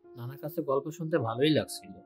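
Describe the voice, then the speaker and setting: Calm young man telling a story. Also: medium pitch, fast talker, soft background music. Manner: calm, steady first-person telling. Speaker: narrator. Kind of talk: storytelling narration.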